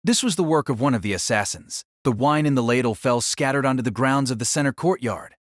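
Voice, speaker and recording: A man's voice: medium pitch, fairly quick, no room sound, clean studio sound. A man narrating a fantasy story in a dramatic, theatrical, scripted style.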